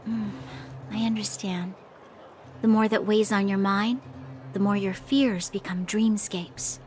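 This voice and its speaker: An actress playing an earnest, dutiful young female officer in a video game, speaking in a measured, formal, reflective way. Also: medium pitch, steady tone, a little mellow, slight background noise, natural voice. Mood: thoughtful, curious. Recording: faint music underneath.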